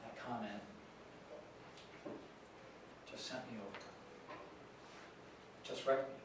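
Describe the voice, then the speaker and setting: A man's voice: fairly low pitch, fairly even intonation. Man playing an earnest, anxious grandson, very echoey hall. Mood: hurt. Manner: halting, repeats himself. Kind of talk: staged reading, confessional monologue. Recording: very echoey hall, distant mic, noisy.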